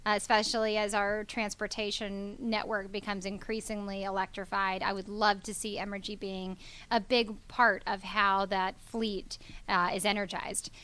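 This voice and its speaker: A woman's voice: medium pitch, level tone, normal speed, bright, forceful tone, clean tone. Earnest woman founder with many fillers. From Georgia, USA. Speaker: company founder and guest. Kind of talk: business interview.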